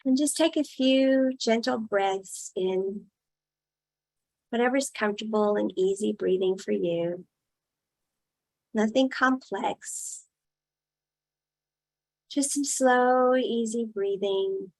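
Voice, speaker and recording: Slow-spoken woman leading a guided meditation. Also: fairly high pitch, darkish tone, low-quality recording. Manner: unhurried short phrases with long pauses.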